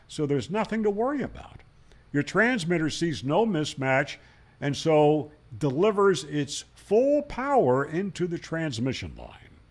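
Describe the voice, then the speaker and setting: A man hosting a hobby radio channel, explaining and telling stories in a folksy, conversational way. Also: on the high side. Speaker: ham radio channel host. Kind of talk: explanatory storytelling to camera.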